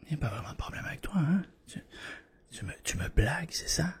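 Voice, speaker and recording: A man doing a hushed ASMR doctor roleplay, guiding the listener patiently and reassuringly. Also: on the high side, big pitch swings, fast, husky. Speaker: ASMR creator playing a doctor. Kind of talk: whispered ASMR medical roleplay.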